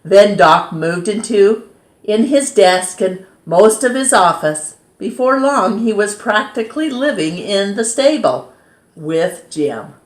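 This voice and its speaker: A woman reads a children's story aloud as a storytime host, in an expressive, measured way that dramatizes key words. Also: medium pitch. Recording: roomy, echoing sound.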